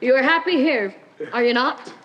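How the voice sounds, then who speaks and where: A teenage girl in a school stage play, playing a brisk, controlling male Victorian doctor with a formal, instructing manner. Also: high voice, dull audio, natural voice. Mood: authoritative.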